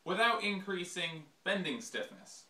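Bright-voiced young man explaining in a workshop. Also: high-pitched, big pitch swings. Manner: measured, explanatory, reads from a script. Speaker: ski company presenter. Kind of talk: scripted product demonstration. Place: small indoor workshop. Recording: echoey workshop room.

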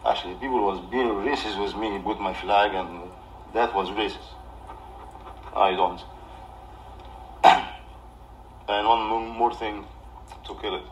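An Egyptian-accented man speaks in a low voice, off the cuff to a livestream. He is halting and defensive, repeating himself as he argues. The mic sits some way off in a room, with light noise behind him. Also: steady tone. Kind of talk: off-the-cuff livestream rant to camera. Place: small living room indoors. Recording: distant mic with background noise.

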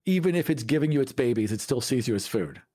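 A man's voice: medium pitch, expressive, quick pace, mellow. A man co-hosting a podcast in casual debate, giving his opinions emphatically. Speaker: podcast co-host.

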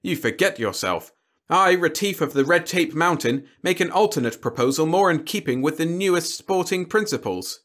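A man's voice: fairly high pitch, expressive, normal speed, fairly bright tone, pitched above the performer's own voice. Man playing a cool, formal human diplomat. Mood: stern. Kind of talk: audiobook character dialogue.

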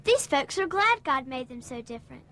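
High, chatty kids'-show narrator woman. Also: very animated, moderate pace. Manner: bright, chatty, kid-friendly. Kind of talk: scripted voice-over narration. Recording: voice-over over background music.

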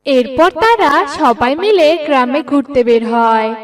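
A woman's voice: sits high, expressive, measured pace, distant mic. A woman reading scripted narration for a cartoon story.

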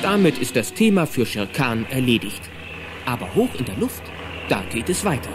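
Lively male storyteller over loud music. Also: mid-range voice, normal speed. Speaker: narrator. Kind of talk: lively scripted narration of children's audio drama.

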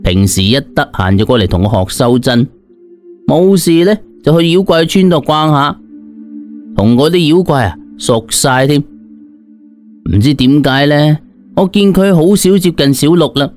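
A man telling a dramatised story in the first person, in a conversational, expressive way. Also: a little high, normal speed, soft background music. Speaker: audiobook narrator.

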